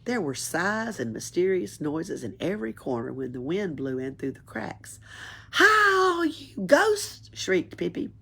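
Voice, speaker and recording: Husky, animated woman storyteller. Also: low voice, moderate pace, hardly any pauses. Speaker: library story reader. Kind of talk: expressive storybook read-aloud.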